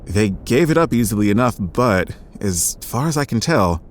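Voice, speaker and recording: An actor playing a gruff, stubborn paleontologist who speaks wearily and sardonically, quickening when excited. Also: male voice, lowish voice, a little hiss or hum, natural voice. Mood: dry, sardonic. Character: gruff middle-aged male paleontologist, bitter and stubborn. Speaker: doctor running a research center. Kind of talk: audio drama acting.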